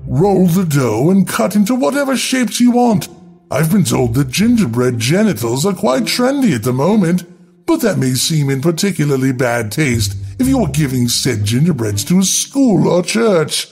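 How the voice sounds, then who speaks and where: A man's voice: a little high, sing-song, moderate pace, creaky, darkish tone, husky, soft background music. A man reading scripted, darkly humorous narration in a wry, theatrical instructional manner.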